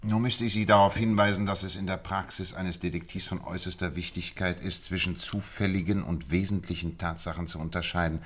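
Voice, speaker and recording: A man's voice: low-pitched, steady tone, brisk, few pauses, a bit bright. A man playing a confident, methodical detective in a German radio play, lecturing precisely on his deductions. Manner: lecturing, precise, self-assured.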